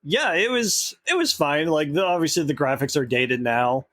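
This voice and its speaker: A man appearing as a podcast guest, talking in a relaxed, enthusiastic way. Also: on the high side, moderate pace, no room sound, clean studio sound. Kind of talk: casual interview chat.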